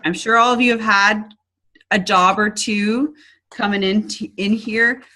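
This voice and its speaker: A woman's voice: mid-range voice, fairly even intonation, unhurried, pushed and bright. A woman hosting a casual online business presentation, chatty and upbeat, with frequent ums and restarts. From Canada.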